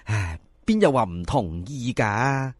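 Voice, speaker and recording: Man voicing a chatty old village mother, slightly husky. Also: mid-range voice, lively intonation, slow pace, dry recording, studio quality, voice pushed down. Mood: delighted. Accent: Cantonese. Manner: chatty, coaxing, full of folk sayings. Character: talkative, fatalistic old village mother, folksy and persuasive. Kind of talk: dramatised folk storytelling.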